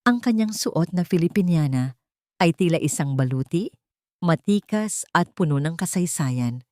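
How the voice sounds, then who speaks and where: A woman narrator with a slightly low voice delivers a scripted love drama. Her reading is deliberate and melodramatic, recorded close and dry with no room sound. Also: lively intonation, darkish tone, no room sound. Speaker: storyteller, narrator.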